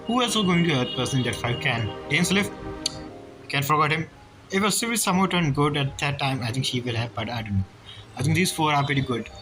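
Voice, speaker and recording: A man giving casual, halting gameplay commentary, thinking aloud as a streamer. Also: on the high side, expressive, rough recording. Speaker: game streamer. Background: game music.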